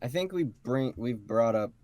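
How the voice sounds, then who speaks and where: A man's voice: mid-range voice, lively intonation, measured pace, a little mellow. Casual man chatting on a podcast. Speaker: podcast co-host. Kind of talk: casual fan podcast banter.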